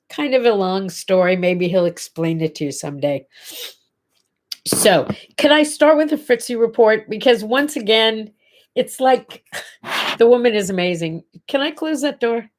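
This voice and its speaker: Woman host, stuffy nasal voice, middle-aged, chatty, scattered, home webcam. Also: medium pitch. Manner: chatty, scattered, addresses viewers directly. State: congested, nasal. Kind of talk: casual morning livestream chat. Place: home bedroom.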